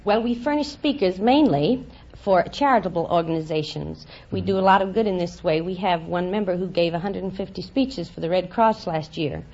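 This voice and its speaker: Eager New housewife on a quiz show. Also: female voice, on the low side, moderate pace, a little mellow. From Staten Island, New York. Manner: eager, talkative. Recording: muffled 1950s radio transcription.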